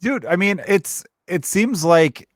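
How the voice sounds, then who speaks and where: Thirties podcast host, easygoing and supportive, dry close mic. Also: male voice, high-pitched, expressive, slow, no echo. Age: 30s. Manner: friendly, encouraging, talks in loose run-on sentences. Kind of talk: casual chat.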